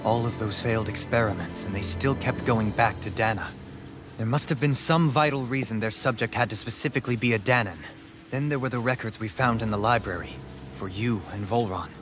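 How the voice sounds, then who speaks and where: An actor playing a calm, analytical man in a video game, laying out a deduction in a measured way. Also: fairly low pitch, close to an everyday voice. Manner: measured, reasoning. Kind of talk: video game cutscene acting. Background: game music.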